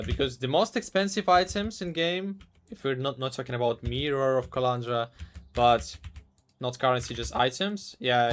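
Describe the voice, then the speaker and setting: A man from Ukraine, casually commentating a live game stream, halting and repeating words as he talks. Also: medium pitch, a little hiss or hum.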